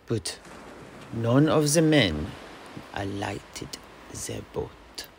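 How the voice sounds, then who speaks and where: Creaky-voiced male fantasy storyteller. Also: mid-range voice, expressive, drawn-out words, slight background noise. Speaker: host, storyteller. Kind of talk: in-character fantasy audio play storytelling.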